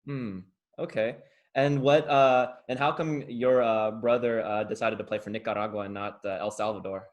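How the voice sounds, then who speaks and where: A man's voice: on the low side, steady tone, normal speed. A man hosting a soccer podcast, introducing his guest and asking questions over a video call, hesitating and filling gaps with uh. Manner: hesitant, many uh fillers and restarts. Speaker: podcast host. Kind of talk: casual podcast interview.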